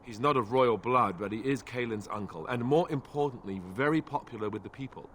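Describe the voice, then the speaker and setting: A man's voice: mid-range voice, a little fast, fairly bright tone, a little hiss or hum, lightly acted. An actor voicing a self-deprecating young male warrior in a fantasy video game, talking in a wry, hesitant way. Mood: earnest, sheepish.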